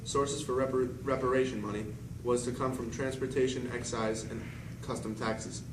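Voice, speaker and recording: A man's voice: medium pitch, fairly even intonation, normal speed, recorded from a distance. Male amateur newsreader, very echoey, noisy room. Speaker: co-anchor in an amateur mock 1920s newscast. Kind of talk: scripted news reading.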